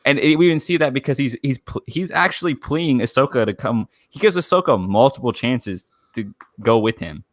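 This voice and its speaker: A man co-hosting a fan podcast, talking casually in a halting, rambling way as he searches for words. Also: mid-range voice, animated, dry recording, clean studio sound. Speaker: podcast co-host.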